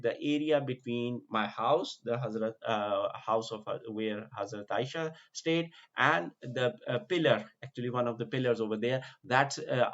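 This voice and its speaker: A man walks viewers through a virtual tour at an easy pace, stopping often to rephrase and fill gaps with "uh". His voice sits mid-range, and the recording is a bit dull and muffled. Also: mid-range voice. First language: not Arabic. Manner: unhurried, explanatory, with many uh fillers and restarts. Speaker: vlogger giving a virtual tour. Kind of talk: casual screen-share walkthrough.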